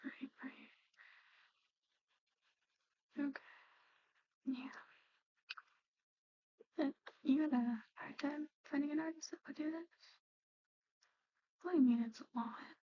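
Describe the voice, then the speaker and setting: A woman doing an ASMR roleplay, speaking softly and often slipping into whispers, with a hesitant, halting delivery. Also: high-pitched, muffled recording, a little hiss or hum. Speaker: ASMR performer.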